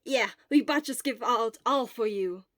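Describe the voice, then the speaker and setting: Woman voicing a high, excitable cheerful teenage schoolboy. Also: a little slow. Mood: enthusiastic. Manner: exclamatory, eager. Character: cheerful, boisterous teenage schoolboy, energetic and teasing. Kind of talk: amateur audio fan-fiction acting.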